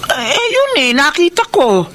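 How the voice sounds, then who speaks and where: A woman playing a gossipy, animated elderly woman in a Filipino radio drama, with a Filipino accent. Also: high voice, measured pace, full character voice. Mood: gossipy, curious. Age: older. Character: chatty elderly woman, gossipy, fond of the mayor.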